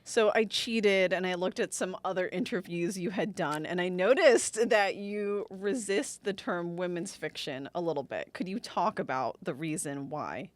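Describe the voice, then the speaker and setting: A woman's voice: lowish voice, normal speed, few pauses, no echo. Woman host asking questions, lots of mm-hmms, dry close sound. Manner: attentive, frequent murmured agreement. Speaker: talk show host. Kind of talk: interview questions.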